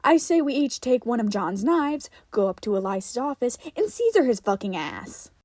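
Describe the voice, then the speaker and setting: A woman's voice: on the high side, sing-song, moderate pace, darkish tone, no echo, clean studio sound. A woman voicing a small, very angry woman in a story, blunt and defiant. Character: extraordinarily angry tiny woman, combative and foul-mouthed.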